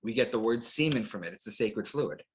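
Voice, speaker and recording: A man's voice: on the low side, lively intonation, brisk, a little hiss or hum. Didactic man explaining point by point. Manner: didactic, short declarative phrases with rhetorical questions. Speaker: guest author and astrotheologist. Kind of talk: explanatory lecture in an interview.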